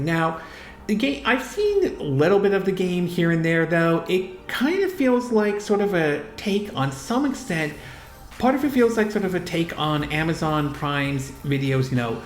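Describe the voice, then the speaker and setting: A man hosting a solo gaming news commentary, rambling with lots of fillers, restarts and trailing "and all". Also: fairly high pitch. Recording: echoey room.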